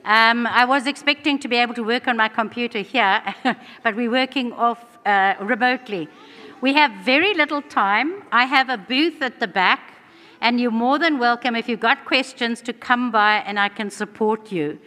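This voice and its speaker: A woman's voice: a little high, moderate pace, bright, forceful tone. A woman from South Africa with a South African accent, giving an earnest conference presentation in a large hall. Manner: earnest, fluent, with frequent restarts. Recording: long hall reverb.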